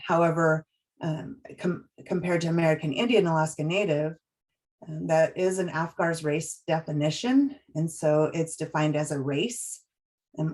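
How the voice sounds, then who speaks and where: A woman presenting a training webinar, walking through slides in a measured, explanatory way with frequent pauses and restarts. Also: low-pitched, a little mellow.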